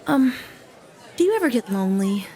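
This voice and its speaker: A woman playing a sweet, bashful young singer in a musical theatre scene, halting and coy. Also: on the high side, big pitch swings, mellow.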